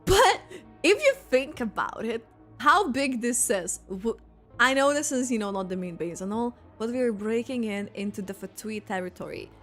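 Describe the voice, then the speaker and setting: High, animated woman streamer. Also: moderate pace, faint music behind. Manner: giggly, excitable, rambling. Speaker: streamer reacting to a game. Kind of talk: casual gameplay reaction commentary.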